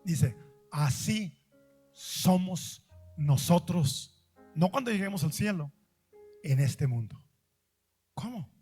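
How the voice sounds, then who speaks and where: A man preaching with a high, ringing voice, pressing his points by repeating them and asking his audience questions. He swings from loud emphatic lines to slow, drawn-out quiet words. Faint instrumental music plays softly behind him. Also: very animated, slightly rough. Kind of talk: sermon.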